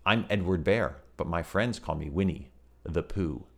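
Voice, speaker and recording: A man voicing a gentle, slow-talking teddy bear who muses in folksy sayings. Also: sits low. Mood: cheerful.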